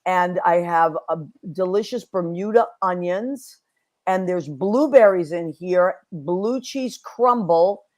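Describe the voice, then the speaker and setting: A woman's voice: fairly low pitch, slow pace, a little mellow. Bubbly New woman hosting. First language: Italian. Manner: bubbly, effusive. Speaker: host. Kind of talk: casual interview chat.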